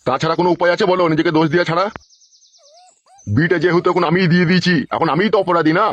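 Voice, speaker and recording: Male actor as cartoon father-in-law, older, exasperated, husky, rapid cursing, muffled lo-fi sound. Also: high-pitched, fairly even intonation, close to an everyday voice. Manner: scolding, cursing, blustering. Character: foul-mouthed quarrelsome village father-in-law, exasperated older man. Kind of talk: comic cartoon dialogue. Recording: dull lo-fi dubbed audio.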